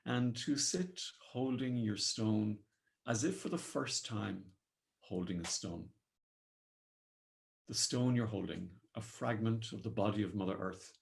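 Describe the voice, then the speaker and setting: A man with a low-set voice and an Irish accent guides a meditation. His phrasing is solemn and poetic, measured out phrase by phrase as he gives gentle instructions. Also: vocal fry, a little hiss or hum. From Ireland.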